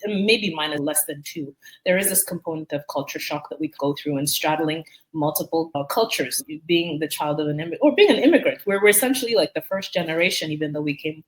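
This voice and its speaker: Quick-talking woman hosting a podcast. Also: low-pitched, low-quality recording. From raised in Canada from age six, African family. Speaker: podcast host, emergency doctor. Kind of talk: casual conversational interviewing.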